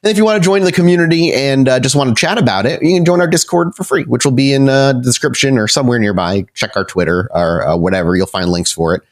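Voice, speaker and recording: A man's voice: medium pitch, quick pace, few pauses. A man hosting and narrating a tabletop role-playing podcast as storyteller, moving between casual chat and cinematic scene-setting. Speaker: storyteller and host.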